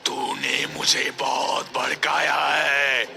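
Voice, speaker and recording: A man voicing a furious man-eating demon in a cartoon fairy tale, shouting menacing threats. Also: mid-range voice, flat delivery, takes it slowly, vocal fry.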